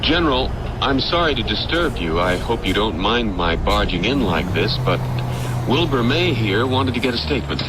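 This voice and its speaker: An actor playing a stern, methodical Secret Service investigator in an old-time radio drama, with a firm, questioning manner. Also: male voice, mid-range voice, very animated, moderate pace, close to an everyday voice. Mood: insistent. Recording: radio drama under loud truck cab noise. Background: loud truck engine and road noise.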